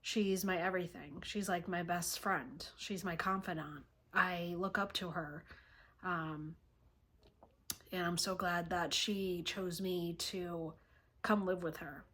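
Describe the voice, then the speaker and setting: A woman vlogger telling a personal story to camera in a halting way, often pausing and clearing her throat. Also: fairly low pitch, fairly even intonation, a bit bright. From born in Russia, raised in the United States from age three.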